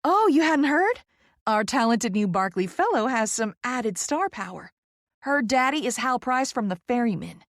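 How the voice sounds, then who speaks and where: A woman voicing a gushing, excitable Southern publicist in an audiobook, with a loud, effusive manner and a US Southern accent. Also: a little high, normal speed, no echo, clean studio sound, voice pushed up. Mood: incredulous, animated. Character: tiny, bubbly, gushing, high-energy Southern publicist woman. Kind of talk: audiobook character voice.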